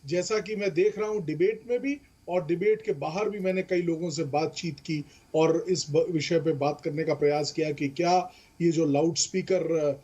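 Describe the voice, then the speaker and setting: A man's voice: a little high, moderate pace, few pauses, dark tone, husky, lo-fi sound. A man, explaining legal points emphatically as a panelist and mixing in English terms. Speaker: legal expert panelist on a debate show.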